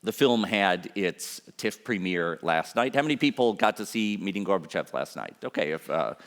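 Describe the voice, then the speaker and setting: A man introducing a festival guest in an unscripted, halting way, with frequent ums and uhs. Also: sits low, lively intonation, fairly bright tone. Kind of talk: unscripted spoken introduction on stage. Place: large studio auditorium, on stage. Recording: echoey hall with long reverb.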